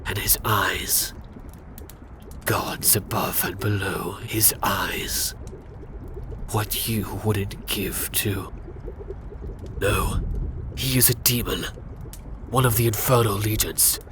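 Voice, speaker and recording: A man narrating a scripted fantasy ASMR roleplay in a hushed, often whispered voice with dramatic pauses. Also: fairly low pitch, fairly even intonation, background noise.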